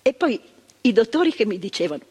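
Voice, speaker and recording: A frank, earnest old psychiatric nurse with a lilt, as an older actress plays her on stage. Her voice is husky and breathy, and her pitch swings up and down as she tells her story. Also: very animated, fast talker, darkish tone, exaggerated voice. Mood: earnest recollection. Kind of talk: stage monologue. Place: theatre stage.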